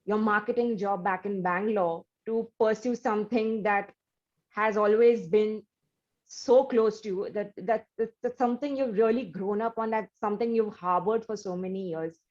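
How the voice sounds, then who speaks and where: A woman's voice: medium pitch, moderate pace, darkish tone, lo-fi sound. A woman hosting an interview, effusive and given to restarts and fillers. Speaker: interview host.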